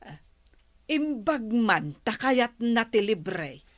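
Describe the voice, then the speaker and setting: A woman's voice: a little high, very animated, extremely slow, no echo, full character voice. A woman with an Ilocano accent playing a nagging, reproachful village wife in a radio comedy drama.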